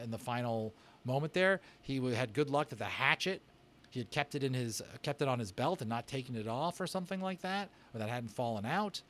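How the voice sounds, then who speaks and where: A man with a mid-pitched voice reads aloud like a teacher working through study questions. He slips between measured reading and informal, off-the-cuff commentary, thinking out loud in a conversational way. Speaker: voice actor and book reader hosting a read-aloud. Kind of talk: reading discussion questions aloud with casual asides.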